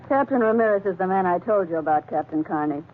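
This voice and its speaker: A woman's voice: fairly low pitch, expressive, fast, narrow, tinny audio, natural voice. A woman playing a wry, discontented island wife in a 1940s radio drama, with a dry, pointed manner. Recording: thin old 1940s radio, faint noise.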